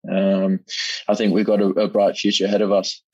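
A man's voice: low-pitched, monotone, a little fast, darkish tone, husky, dry recording, highs cut off. A young man, a rugby player, answering interview questions modestly and repeating words as he thinks, heard over a remote line. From Zimbabwe.

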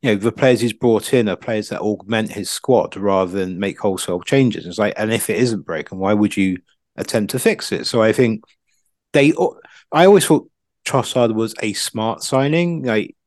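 A man's voice: fairly low pitch, a little mellow, no echo, clean studio sound. A man co-hosting a football podcast, casually analysing in rambling, stop-start sentences full of asides.

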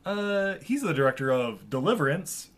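A man's voice: fairly high pitch, very animated, normal speed, a bit bright. A young man hosting a casual movie podcast, running through cast and crew lists with lots of ums and laughter. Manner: laughing often, listing credits with filler ums. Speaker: podcast host.

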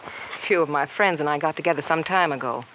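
A woman's voice: deep voice, a little fast, fairly bright tone. An actress playing a cold, candid young woman in an old radio crime drama, blunt and unsentimental. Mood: cool, defiant. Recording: muffled, noisy old radio recording.

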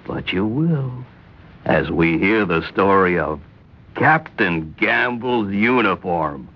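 A man's voice: deep voice, expressive, takes it slowly. A man giving a dramatic introduction as the narrator of an old-time radio drama. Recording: muffled old radio recording.

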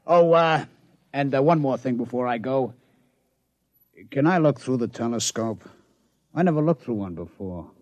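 An actor playing a brash, streetwise American casino owner who talks in slangy wisecracks, in old-time radio drama style. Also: male voice, mid-range voice, animated, normal speed, pauses often, a little mellow, no echo, dull audio, close to an everyday voice. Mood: amused, brash.

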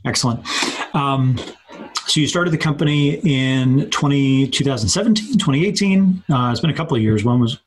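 A man hosting an interview podcast, reading intros and putting questions in a halting way as he searches for words. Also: mid-range voice, a little hiss or hum, poor audio. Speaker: podcast host.

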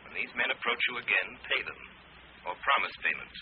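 An actor playing a mysterious unseen avenger who makes formal, commanding, ominous pronouncements in a 1940s radio drama. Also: male voice, mid-range voice, brisk, natural voice. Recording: tinny, noisy vintage radio recording.

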